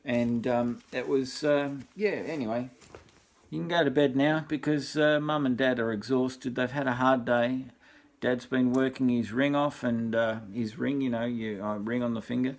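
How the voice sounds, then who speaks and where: A man's voice: mid-range voice, moderate pace. Older Australian man reading a bedtime story. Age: 60s or older. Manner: jokey, rambling, repeats himself with deadpan asides. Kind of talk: informal storybook reading with chatty asides.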